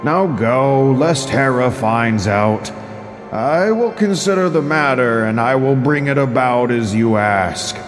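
A man playing a troubled, commanding king of the gods in an epic audio drama, weighty, reluctant and deliberate, in cavernous reverb. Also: mid-range voice, expressive. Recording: long cavernous reverb with music behind.

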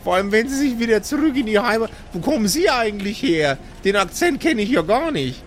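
Male player as nosy little old lady, very high voice, folksy rambling, role-play audio drama, slight background noise. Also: big pitch swings, brisk. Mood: chatty, nosy. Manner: folksy, chatty, repeats phrases. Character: very small chatty nosy old woman in glasses.

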